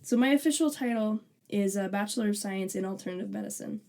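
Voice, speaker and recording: A woman's voice: mid-range voice, fast, warm tone. A woman in her twenties, a student co-hosting a podcast, chatting casually and joking with a laugh. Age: 20s. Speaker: podcast co-host, alternative medicine student. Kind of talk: casual chat.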